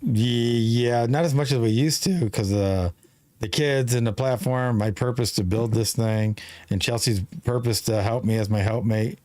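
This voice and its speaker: A man in his fifties hosting a live talk show, talking in a rambling, halting way with many restarts. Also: mid-range voice, mellow, dry recording. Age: 50s. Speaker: show host. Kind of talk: casual live-stream conversation.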